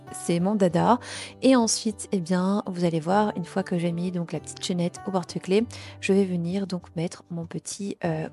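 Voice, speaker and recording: A young woman whose first language is Portuguese, chatting casually through a crafts tutorial with many small fillers. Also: mid-range voice. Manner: chatty, warm, many fillers like euh and ben. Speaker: DIY and family vlogger.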